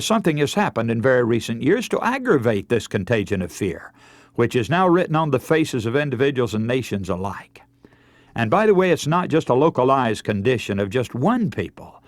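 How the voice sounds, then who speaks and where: A man's voice: medium pitch, no room sound. A man preaching a scripted radio sermon in an earnest, emphatic, oratorical manner, putting rhetorical questions to his listeners. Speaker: radio preacher and broadcast host.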